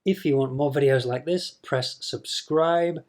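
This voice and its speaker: Slow, patient male English teacher. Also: on the high side. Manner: patient, demonstrates sounds slowly and repeats them. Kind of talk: slow explanatory video lesson to camera.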